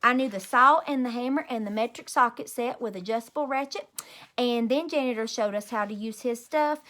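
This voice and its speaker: Female teacher, storybook read-aloud: high, bright, emphatic delivery. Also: a little fast. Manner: animated, emphatic. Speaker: teacher reading aloud to children. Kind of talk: expressive children's storybook reading.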